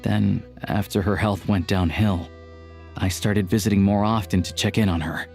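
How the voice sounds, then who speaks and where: A man playing a patient, caring character in a video game, speaking gently and earnestly. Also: low-pitched, steady tone, moderate pace, creaky. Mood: reflective, wistful. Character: patient, caring man who looks after an old woman. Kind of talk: video game voice acting, dialogue and exposition. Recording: background music under the dialogue.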